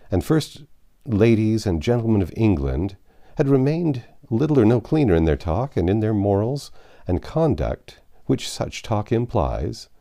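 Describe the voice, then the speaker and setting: A man's voice: fairly low pitch, gravelly. A man reading a classic novel aloud as a narrator, telling it expressively with the odd slip. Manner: expressive storytelling with occasional slips. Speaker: audiobook narrator.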